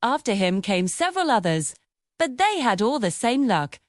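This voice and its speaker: A woman narrates a scripted fairy tale. Her voice is high and melodically varied. The sound is dry, with no room around it. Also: sing-song, normal speed, no echo. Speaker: storyteller.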